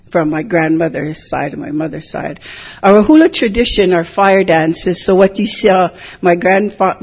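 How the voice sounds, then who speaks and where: An older woman from Hilo, giving a measured, reflective talk as a hula teacher, often drifting into digressions. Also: lowish voice, slightly rough. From Hilo, Hawaii. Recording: dull lo-fi recording.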